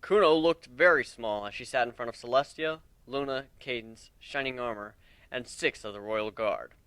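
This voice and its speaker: A man's voice: medium pitch, moderate pace, a bit bright, clean tone, no room sound. A man narrating a fan fiction story in a scripted, dramatic reading style.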